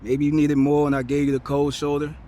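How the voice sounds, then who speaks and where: A man's voice: lowish voice, steady tone, normal speed, a little hiss or hum, natural voice. A man playing a guilt-ridden, defensive young boyfriend in an earnest, poetic dramatic monologue. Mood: regretful, apologetic. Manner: poetic, earnest, emotional delivery. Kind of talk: dramatic spoken-word monologue. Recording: clear music playing behind.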